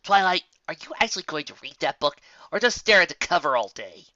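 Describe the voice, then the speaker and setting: Man doing a smug, bright young dragon. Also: fairly high pitch, lively intonation, a little fast, dry recording, dull audio, voice pushed up. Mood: exasperated. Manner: sardonic, chiding.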